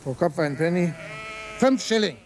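Stern toll collector: high, creaky, slow and curt, radio drama with sheep bleating behind. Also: male voice, animated, a little hiss or hum. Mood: stern, demanding. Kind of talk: radio play acting.

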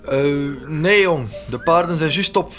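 A man's voice: on the high side, measured pace, music in the background, natural voice. A man with a Flemish accent playing a hesitant, folksy, complaining village innkeeper in a comic radio drama. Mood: bumbling.

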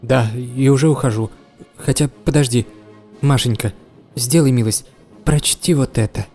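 A man's voice: medium pitch, normal speed, darkish tone, faint music behind, lightly acted. A man playing an affectionate teenage schoolboy brother in a children's audio drama. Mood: gently playful.

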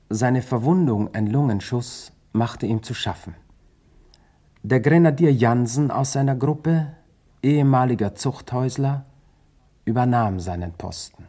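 A man's voice: mid-range voice, mellow. A man reading sober, scripted chronicle narration for a radio play.

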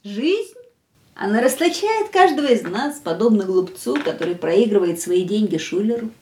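A woman playing a willful, carefree and fatalistic young woman in a radio play, with expressive theatrical delivery. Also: mid-range voice, moderate pace, slight background noise, lightly acted. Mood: philosophical.

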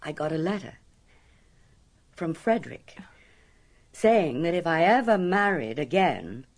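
A low-pitched, haunted woman of middle age in a radio drama. She confides a frightening past deliberately, with dramatic pauses and an undercurrent of fear. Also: big pitch swings, heavily acted. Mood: grave, confiding.

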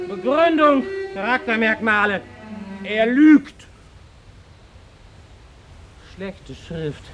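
An actor playing an old dissident psychiatric patient, speaking in clipped fragments as if dictating to himself in a radio drama. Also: male voice, extremely high pitch, low-quality recording. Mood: muttering, bleak. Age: older. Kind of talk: radio drama interior monologue.

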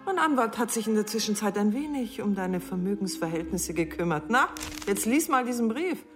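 An actress playing a scornful, mercenary middle-aged wife in a radio play, cutting, mocking and haughty in manner. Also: female voice, mid-range voice, animated, a little fast, soft background music, close to an everyday voice. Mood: scornful, bitter.

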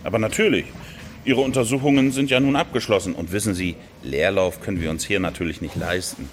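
Actor as a low-voiced, chatty male nurse. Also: a little hiss or hum, lightly acted. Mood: businesslike. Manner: chatty, exclaiming, brisk. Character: chatty, breezily efficient male hospital nurse. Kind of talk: naturalistic radio drama acting.

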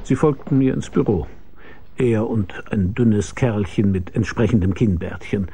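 Hard-boiled radio drama lead: cynical investigator, husky and creaky, laconic, dry delivery. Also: male voice, lowish voice, measured pace, dark tone, heavily acted. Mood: dry narration. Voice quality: gravelly, husky. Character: world-weary, cynical male private investigator. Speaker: narrator and lead character.

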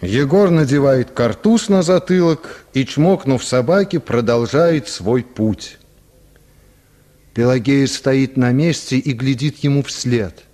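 Male narrator, unhurried pace, medium pitch, literary radio drama narration. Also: warm tone.

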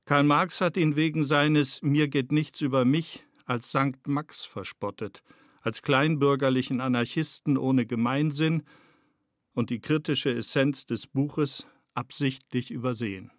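A man narrating a scripted radio essay in a measured, matter-of-fact way. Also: medium pitch, steady tone, no room sound, clean studio sound.

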